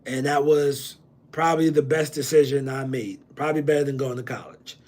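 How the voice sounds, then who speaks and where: A man's voice: medium pitch, brisk, a little mellow. A man from New Jersey hosting a loose livestream Q&A on real estate, slangy and repeating himself with many ums. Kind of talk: casual livestream Q&A.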